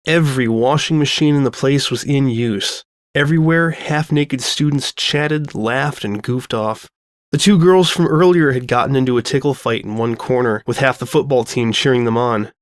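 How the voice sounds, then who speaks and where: A man's voice: mid-range voice, normal speed, no echo. Man narrating a comic story, dry studio sound. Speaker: fan fiction narrator.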